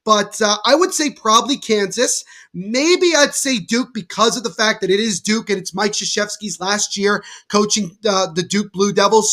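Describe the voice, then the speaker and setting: A young man from New Jersey with a stuffy cold, giving excitable play-by-play commentary on a livestream and talking to his chat. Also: high-pitched. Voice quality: nasal. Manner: excitable, bursts louder on big plays. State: congested from a cold.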